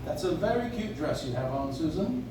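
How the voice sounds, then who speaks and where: A genial, dignified old Santa figure played by a man in a radio play, with lively, swooping delivery. He sounds far from the microphone in a noisy, echoing room. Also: on the high side, normal speed, lightly acted. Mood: genial, earnest. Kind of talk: live stage radio play acting. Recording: echoey noisy room, distant audience recording.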